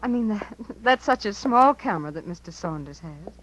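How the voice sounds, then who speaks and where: An actress playing an earnest, devoted young small-town niece in a 1950s radio drama. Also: female voice, mid-range voice, expressive, normal speed, fairly bright tone, poor audio. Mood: polite, flustered. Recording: hissy, humming 1950s radio recording.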